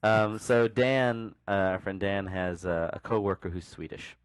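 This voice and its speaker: A man's voice: on the low side, measured pace, smooth, dry recording. A man hosting a casual podcast chat in a jokey manner, laughing readily. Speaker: podcast host.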